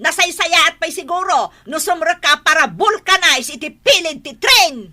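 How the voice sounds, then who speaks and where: A woman's voice: animated, moderate pace, heavily acted. A woman playing a nagging, sarcastic wife fed up with her drunkard husband, in Ilocano-accented radio drama style. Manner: shrill, sharp-tongued, sarcastic.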